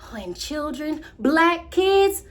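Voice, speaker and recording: An imaginative, excitable young girl, acted by a woman with an American accent, tells her story as a theatrical monologue. The voice is high and very animated, moving dramatically between confiding and proud exclamation. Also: slow pace, exaggerated voice. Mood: dreamy, thrilled. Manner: dramatic, wide swings from hushed confiding to exclaiming.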